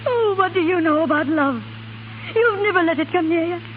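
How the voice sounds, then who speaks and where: Young Irish widow, very high voice, bitter and grieving, on thin vintage radio drama broadcast. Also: female voice, expressive, normal speed, narrow, tinny audio. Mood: anguished, bitter. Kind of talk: 1930s radio drama acting. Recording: thin 1930s radio broadcast, faint noise.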